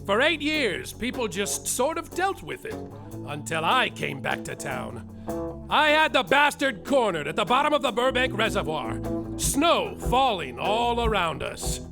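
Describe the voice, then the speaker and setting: A hammy, bumbling detective, played by a man narrating his own adventure on a theater stage. His voice is strikingly high and animated, and music plays clearly behind him. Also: a little slow, heavily acted. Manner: theatrical, over-the-top, comic narration. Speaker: actor and narrator in a live comedy musical. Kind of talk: live comedy musical podcast.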